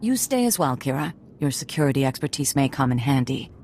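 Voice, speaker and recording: Stern, low-voiced female master. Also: sing-song, brisk, darkish tone. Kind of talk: video game voice acting. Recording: faint background noise.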